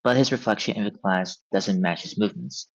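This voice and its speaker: Male narrator for script directions; lowish voice, scripted read, slightly muffled lo-fi audio. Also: brisk. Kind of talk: reading stage directions at a table read. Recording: dull, band-limited audio.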